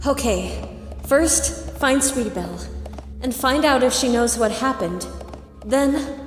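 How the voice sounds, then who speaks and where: A woman's voice: on the high side, animated, takes it slowly. Woman as anxious young unicorn mare, echoing. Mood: anxious, determined. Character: anxious young female unicorn pony reasoning with herself. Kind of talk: amateur audio drama of fan fiction. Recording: echoey, reverberant, music underneath.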